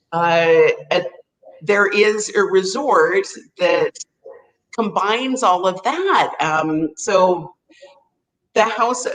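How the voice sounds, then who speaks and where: A woman's voice: medium pitch, rough recording. A woman in her forties from Canada with a Canadian accent, a guest on a video-call interview who tells her story at length with many fillers. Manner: rambling, warm storytelling with fillers. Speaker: guest, hotel sales representative. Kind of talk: casual interview chat.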